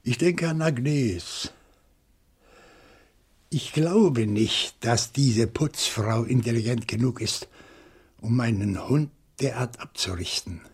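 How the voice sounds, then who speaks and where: An actor playing an agitated, accusing professor who questions deliberately and dramatically in a German radio crime drama. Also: male voice, medium pitch, gravelly, slightly rough, heavily acted. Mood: grave, deductive.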